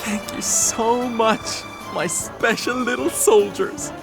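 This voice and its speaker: A man's voice: very high voice, takes it slowly, gravelly, heavily acted. An actor playing a flamboyant, theatrical male entertainer in a video game cutscene, speaking in a showy, grand, sing-song way. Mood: exuberant. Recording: game music behind.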